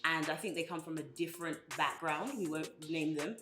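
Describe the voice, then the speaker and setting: A quick-talking woman with a Yoruba mother tongue, co-hosting a chatty podcast. She tells stories playfully and slips into the voices of the people she quotes. Her voice rings around a roomy space, with clear music running underneath. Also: deep voice. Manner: playful, animated storyteller acting out quoted voices. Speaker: podcast co-host. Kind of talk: casual chat.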